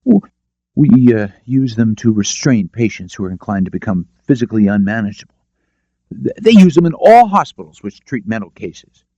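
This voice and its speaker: A man's voice: lowish voice, sing-song, normal speed, dark tone, dry recording, dull audio. A man voicing a cowed, apologetic older rest-home director who stammers defensively, in an audiobook. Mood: uneasy. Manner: stammering, hesitant.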